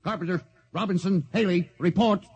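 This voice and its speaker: A man's voice: sits high, moderate pace, gravelly, darkish tone, husky, exaggerated voice. A man acting a desperate, defiant spaceship captain in 1950s radio drama style, with urgent theatrical delivery. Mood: alarmed. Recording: noisy, muffled old radio recording.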